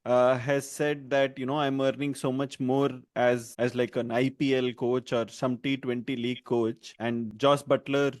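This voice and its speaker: A man's voice: mid-range voice, little pitch movement, unhurried, few pauses, no room sound. A man co-hosting a podcast in casual chat, talking loosely and laughing often between points. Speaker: podcast co-host.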